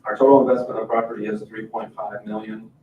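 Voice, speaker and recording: Low-pitched American man, business owner at a public meeting, echoey room with faint noise. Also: level tone, fast, recorded from a distance, narrow, tinny audio. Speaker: garden center business owner presenting to a town council. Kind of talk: informal public meeting presentation. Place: indoor town council meeting room.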